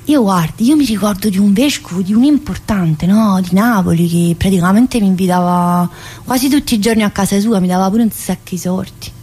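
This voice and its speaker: A woman's voice: mid-range voice, mellow, husky, close to an everyday voice. An actress playing a talkative, troubled woman locked in a psychiatric prison, in a radio theatre monologue, with a Neapolitan accent and a colloquial, confiding, rambling manner. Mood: confiding, earnest. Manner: colloquial, confiding, rambling, with abrupt pauses and interjections.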